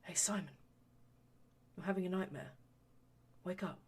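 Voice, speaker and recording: A woman doing a soft-spoken, caring young man. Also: on the low side, moderate pace. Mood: gentle. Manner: soft, comforting, quiet. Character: gentle young male adventurer, caring friend.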